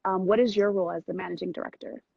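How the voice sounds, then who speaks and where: A woman hosting a casual interview over a video call, with a slightly husky voice. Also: fairly low pitch, fairly even intonation, rapid-fire, dry recording. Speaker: vlogcast host and interviewer. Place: private room on a moving train. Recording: muffled, dry video call audio.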